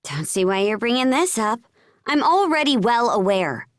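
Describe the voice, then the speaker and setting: A woman's voice: a little high, very animated, moderate pace, no echo, clean studio sound. An actress playing a resolute, no-nonsense young warrior woman in a video game, speaking in a terse, businesslike way. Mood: curt. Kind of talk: video game cutscene acting.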